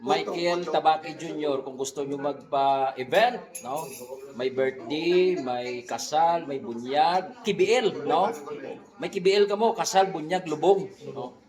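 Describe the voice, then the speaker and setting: Echoey, jokey Filipino man vlogging, slightly high-pitched. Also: a little slow, crowd noise. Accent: Western Visayan Filipino. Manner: jokey, frequent tag questions. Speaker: vlog host, radio drama director. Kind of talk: casual vlog chat introducing colleagues. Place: indoor radio recording room. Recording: echoey room with people chattering.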